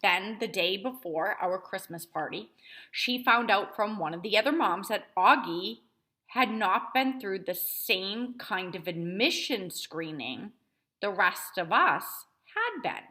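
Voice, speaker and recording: A woman reads a children's novel aloud. Her voice is bright and crisp-edged, with very lively, wide-moving intonation as she narrates from a child's point of view. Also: mid-range voice, slow. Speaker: storybook reader narrating as a child.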